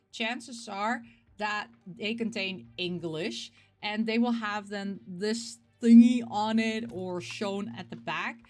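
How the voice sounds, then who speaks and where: A woman hosting a casual video about games, talking in an expressive, rambling way and repeating words for emphasis. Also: mid-range voice. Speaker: host of a game collecting show. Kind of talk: casual chat, showing game pick-ups.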